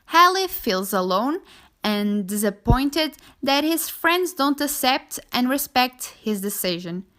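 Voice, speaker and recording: A young female student with a Portuguese accent delivers a prepared statement of opinion. Her voice is on the high side. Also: very animated, slow pace, fairly bright tone. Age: teens.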